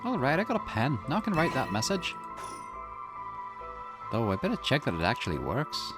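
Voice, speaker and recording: A man's voice: mid-range voice, huge pitch swings, moderate pace. A man voicing a curious, puzzled investigator who thinks aloud in a visual novel, musing and questioning. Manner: musing, questioning, swooping intonation. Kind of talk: visual novel character reading. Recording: music behind the voice.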